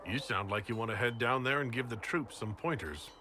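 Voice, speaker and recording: A man playing a composed, earnest fantasy hero in a video game, measured and inquisitive. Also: mid-range voice, sharp tone, slight background noise, natural voice. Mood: earnest, resolute.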